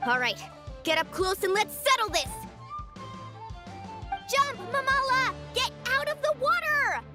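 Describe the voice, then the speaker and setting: A woman playing a spirited, expressive young woman in a fantasy video game. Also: very high voice, measured pace. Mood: fired up. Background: music.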